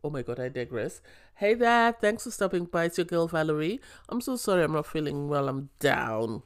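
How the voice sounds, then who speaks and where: A woman's voice: deep voice, very animated, normal speed. A woman with a sore throat giving a casual, opinionated review of a reality show, often firing off exasperated questions.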